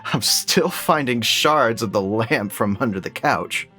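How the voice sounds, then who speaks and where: A woman playing a patient, placating young male partner in an audio dramedy, measured and gently wry. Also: very deep voice, lively intonation, soft background music, heavily acted. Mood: gentle, coaxing. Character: patient, placating male partner, a psychology student named Todd.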